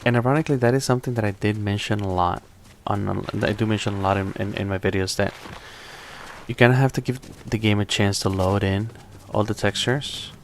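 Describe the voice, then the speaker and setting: Man, slight accent, deep-ish voice, casual rambling game commentary, faint background noise. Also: brisk. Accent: non-native English accent. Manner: relaxed, rambling with frequent restarts. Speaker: tech streamer. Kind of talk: live gameplay commentary.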